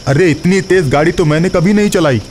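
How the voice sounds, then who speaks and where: A man's voice: high-pitched, a little fast, a little mellow, slight background noise, natural voice. A man voicing a polite, uneasy, deferential truck driver in a cartoon horror story. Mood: shocked.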